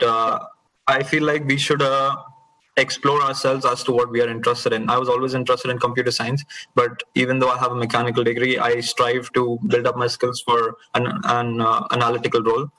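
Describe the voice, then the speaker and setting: A young man from India on a panel, measured and a little hesitant. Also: medium pitch, sharp tone, poor audio. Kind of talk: casual panel talk.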